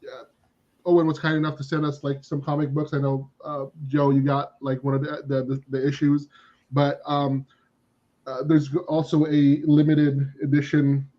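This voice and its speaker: Flat-toned man on dull lo-fi audio. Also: a little high, moderate pace. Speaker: co-host. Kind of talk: casual stream chat.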